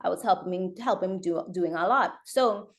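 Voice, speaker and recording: A woman's voice: lowish voice, normal speed. A woman who grew up in Trinidad, a makeup artist guesting on a podcast, telling stories in a chatty way full of "like". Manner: chatty storyteller, many "like" fillers. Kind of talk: casual interview chat.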